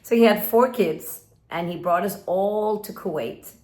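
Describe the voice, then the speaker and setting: A woman's voice: mid-range voice, measured pace, a little mellow. A Swedish woman in her fifties with an American-influenced English accent, giving earnest, emphatic coaching talk to camera and acting out inner voices. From Sweden. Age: 50s. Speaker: relationship coach. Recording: roomy echo.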